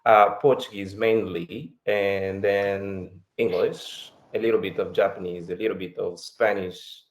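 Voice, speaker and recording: A man's voice: sits low, fairly even intonation, unhurried. A man from Angola whose first language is Kikongo, talking casually and hesitantly as a podcast guest, often restarting. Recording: roomy echo.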